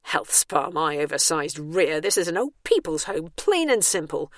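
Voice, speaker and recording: A woman's voice: low voice, sing-song, moderate pace, no room sound. A stubborn older woman, acted by a female narrator, blunt and indignant in every word. She is the defiant heroine of a comic storybook, heard close and dry. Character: grumpy, defiant older woman at a health spa.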